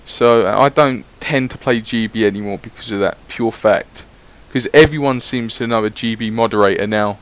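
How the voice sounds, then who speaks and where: A man's voice: lowish voice, normal speed, hardly any pauses. A man giving casual, rambling voice-over commentary on his gameplay, swearing freely as he chats. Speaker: gaming YouTuber.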